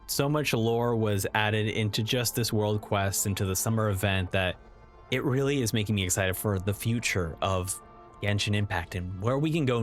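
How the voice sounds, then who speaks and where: A man giving enthusiastic video essay commentary as a review host, speaking in an excitable, emphatic and informal way. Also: mid-range voice.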